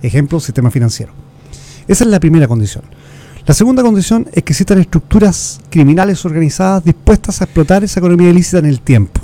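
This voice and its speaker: Chilean male guest expert, quick, dark-toned, earnest explainer. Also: high-pitched, expressive. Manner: earnest, lecturing, restarts words, uses rhetorical questions. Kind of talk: explanatory interview talk.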